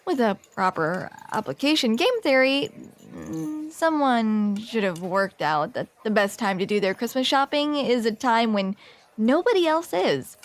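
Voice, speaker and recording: A woman playing a grumpy, flustered tsundere barista with a secret soft side in an ASMR roleplay, sardonic, self-deprecating and awkwardly halting. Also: fairly high pitch, expressive. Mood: dry, grumpy.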